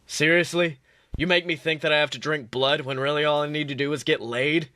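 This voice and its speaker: A man doing a brash young male hunter from a fan story, blunt and casual. The voice is bright and a little high for a man. It is recorded close and dry. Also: steady tone, brisk, no echo. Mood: indignant.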